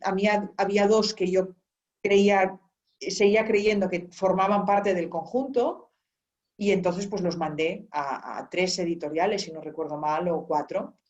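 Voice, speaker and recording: A woman guest in an interview, telling a long story in a relaxed, rambling way with many restarts. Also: fairly low pitch, measured pace. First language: Spanish. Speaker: author and guest. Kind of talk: conversational interview. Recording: dull lo-fi sound.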